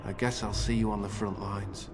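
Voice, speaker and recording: A man's voice: fairly low pitch, little pitch movement, normal speed, close to an everyday voice. An actor playing a rueful, grumbling common man in a fantasy game. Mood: rueful, sarcastic. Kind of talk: fantasy video game voice acting. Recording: music clearly behind.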